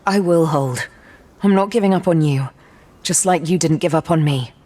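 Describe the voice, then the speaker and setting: A loyal, brave warrior woman for a game cutscene. Her voice is slightly low, and she speaks firmly and resolutely. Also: normal speed, dark tone. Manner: firm, resolute. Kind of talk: video game cutscene voice acting.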